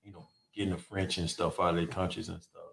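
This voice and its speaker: Young man from Florida, laid-back and slangy, low-ish voice. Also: little pitch movement, quick pace, vocal fry, slightly rough. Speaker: podcast guest, trainer. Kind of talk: casual chat. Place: studio set. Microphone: studio mic on stand.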